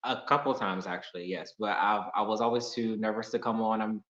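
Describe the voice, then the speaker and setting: Low-voiced man on an echoey, dull call. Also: steady tone, fairly quick, fairly bright tone. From Pittsburgh. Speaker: caller on a dating show. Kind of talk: casual video-call chat.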